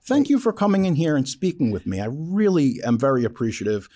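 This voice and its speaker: A man's voice: a little high, sing-song, brisk, warm tone. A man hosting a podcast in a casual, joking way, rambling and self-deprecating, with the odd casual swear word. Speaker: podcast host interviewing a filmmaker.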